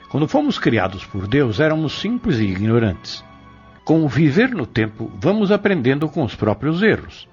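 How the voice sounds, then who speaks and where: A man's voice: medium pitch, slightly rough. A man delivering a solemn, didactic spiritual commentary on the radio. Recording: dull lo-fi radio, music behind.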